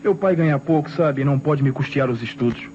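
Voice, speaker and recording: A self-assured young Brazilian student played by a man in a vintage radio crime play. His mid-pitched voice argues articulately, on a dull old recording with faint noise. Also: a little fast, dark tone, lightly acted. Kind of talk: old Brazilian radio detective drama acting.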